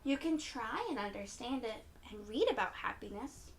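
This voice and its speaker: A woman reading a picture book aloud to young children in an encouraging, expressive way, often putting questions to her listeners. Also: a little high, normal speed, slight background noise. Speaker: children's read-aloud storyteller.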